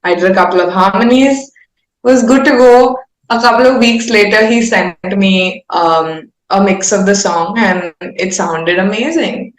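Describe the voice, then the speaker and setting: A woman's voice: medium pitch, measured pace. A woman with an Indian accent chats casually and candidly as an interviewed singer, rambling in an informal, self-aware way. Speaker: singer-songwriter being interviewed. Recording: roomy echo.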